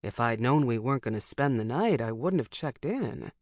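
Grumbling American pilot, low and gruff, done by the narrator. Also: lively intonation, normal speed, dry recording, clean studio sound.

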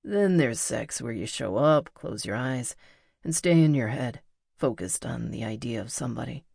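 A woman voicing a cocky, teasing young male rock musician in an audiobook, speaking in an intimate, unhurried way. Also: rumbling low, expressive, vocal fry, a little mellow, slightly rough, dry recording, studio quality, voice pushed down, exaggerated voice. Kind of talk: audiobook character dialogue.

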